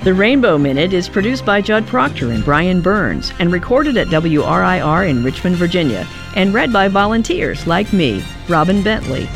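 Volunteer reading a radio script, really varied pitch, bit of music behind. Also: big pitch swings, normal speed, gravelly, few pauses. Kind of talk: scripted narration.

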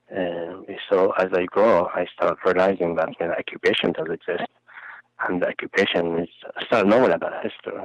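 A man in his forties from Palestine, a non-native English speaker, talking earnestly and haltingly in a phone interview. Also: deep voice, no echo. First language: Arabic. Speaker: interview guest. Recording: muffled phone recording.

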